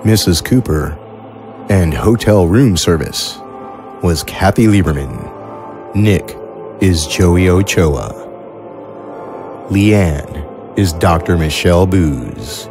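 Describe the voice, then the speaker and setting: Male announcer for a closing credits read: very low pitch, measured scripted delivery, music underneath. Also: animated. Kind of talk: scripted outro narration.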